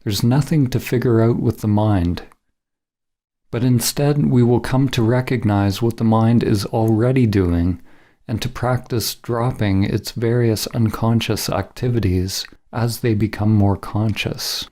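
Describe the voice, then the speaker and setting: A man narrating a scripted guided meditation in a measured, solemn, instructional manner as the guide. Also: fairly low pitch, steady tone, warm tone.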